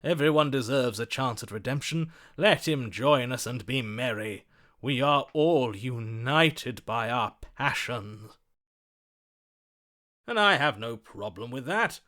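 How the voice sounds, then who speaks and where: A gallant storybook romantic for a video game, hopelessly smitten and grandly theatrical. His pitch sits in the middle for a man, and he lingers unhurriedly over florid, swooning phrases, tender and melodramatic. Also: animated, takes it slowly, sharp tone. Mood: gallant, confident. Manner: florid, swooning, dramatic delivery.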